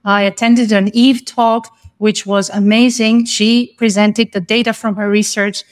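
A woman's voice: mid-range voice, level tone, measured pace. A woman, an English teacher, speaking as a guest in an interview, arguing her point passionately and with emphasis. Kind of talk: conversational interview.

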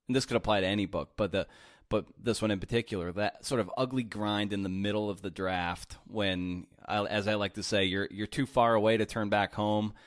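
Low-voiced male host, dry sound. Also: fairly even intonation, no room sound. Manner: rambling, halting questions built on extended images. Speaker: podcast host. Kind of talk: interview questions.

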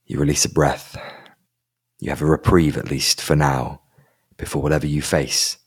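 A man narrating a tabletop role-playing game as game master, in slow, dramatic descriptive passages. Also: a bass voice, level tone, husky.

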